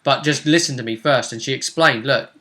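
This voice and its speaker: A man's voice: medium pitch, brisk, sharp tone. A man chatting casually as game master, recapping a tabletop role-playing session and acting out bits of dialogue.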